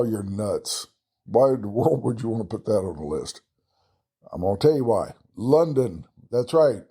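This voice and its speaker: A man with a Texan drawl talks through travel tips in a loose, folksy way, tossing in chatty asides at his own expense. His voice is dark and mellow, with a husky grain to it. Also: medium pitch. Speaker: travel podcast host. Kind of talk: casual solo travel talk.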